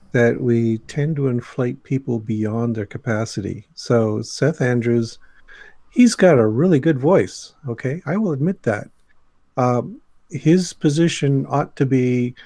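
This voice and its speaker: Measured man with a creaky voice. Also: medium pitch, dark tone, no room sound. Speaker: podcast guest. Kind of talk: casual panel discussion.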